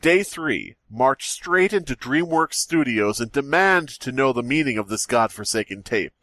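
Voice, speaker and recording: A man's voice: medium pitch, animated, moderate pace, fairly bright tone, no room sound. A man co-hosting a comedy podcast, reading a silly horror story aloud with mock-dramatic flair. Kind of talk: casual comedic podcast chat.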